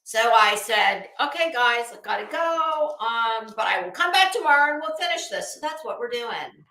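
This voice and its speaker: A woman's voice: a little high, animated, normal speed, bright, forceful tone, reverberant, rough recording. A middle-aged woman hosting a casual livestream, chatting in a rambling, gossipy and sarcastic way. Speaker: livestream host. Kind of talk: casual livestream commentary chat.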